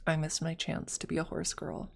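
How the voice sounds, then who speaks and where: A woman chatting casually on an ASMR livestream, soft-spoken and halting, close to a streaming microphone. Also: low-pitched, completely flat delivery, vocal fry, slight background noise.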